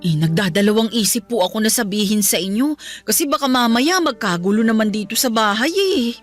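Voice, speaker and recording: A woman's voice: a little high, expressive, a little fast, faint music behind. A woman playing a deferential, chatty housekeeper in a Filipino radio drama, confiding in a respectful way. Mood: hesitant, confiding. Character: deferential, tattling female housekeeper, soothing and chatty.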